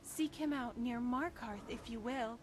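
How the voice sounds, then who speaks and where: A woman's voice: a little high, a little slow, background noise, lightly acted. A woman playing a devout priestess in a fantasy game, speaking in ornate, formal, archaic phrases. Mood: earnest, apologetic.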